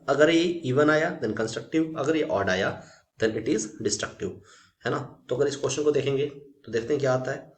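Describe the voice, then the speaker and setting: Man, Indian accent, physics tutor, mid-pitched voice, step-by-step explanation, English technical terms mixed in, frequent tag questions. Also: a little mellow. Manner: checks in often with short tag questions while explaining. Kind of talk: step-by-step worked solution, teaching.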